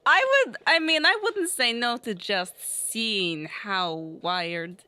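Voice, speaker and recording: A woman's voice: on the high side, expressive, slow, sharp tone. A woman casually narrating her character's actions as a player in a tabletop game.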